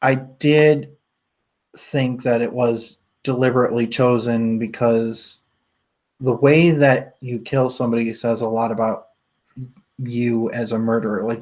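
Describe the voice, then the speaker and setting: A man's voice: mid-range voice, darkish tone. A man in a casual book discussion, talking in an informal, rambling way as a co-host. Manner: rambling, informal, laughs often while talking.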